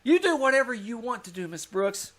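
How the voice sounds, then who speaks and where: A woman's voice: on the high side, very animated, brisk. A woman playing an eager, wheedling teenage schoolboy, earnest and slyly persistent, in 1950s radio comedy style. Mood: hurt, hinting.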